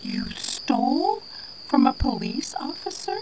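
Radio drama alien mother, played by a woman: gentle, imploring, unhurried delivery over heavy background noise. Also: high voice, expressive. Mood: shocked reproach. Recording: very noisy, clicks and insect sounds.

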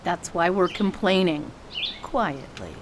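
A woman's voice: on the low side, animated, takes it slowly, background noise. A woman playing a tough, curt and protective war veteran in an audio drama. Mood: irritated. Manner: curt, dry. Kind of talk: radio drama acting.

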